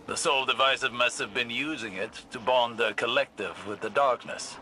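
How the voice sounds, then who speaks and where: A composed male ally , played by a man, briefs the player in a video game. His voice is bright and forceful, his phrasing precise and explanatory. Faint ambient noise sits behind him. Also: medium pitch, normal speed, natural voice. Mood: briefing.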